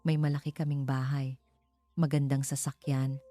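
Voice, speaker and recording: A Filipino woman voicing a gentle, caring young village schoolteacher in a love story, speaking softly and tenderly. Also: very deep voice, flat delivery, moderate pace, soft background music. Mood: wistful. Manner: soft, tender speech.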